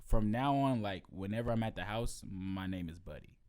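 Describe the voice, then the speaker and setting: A man's voice: fairly low pitch, moderate pace, darkish tone. A young man co-hosting a podcast, telling stories in a laid-back way. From Atlanta. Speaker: podcast co-host. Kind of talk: casual chat among friends.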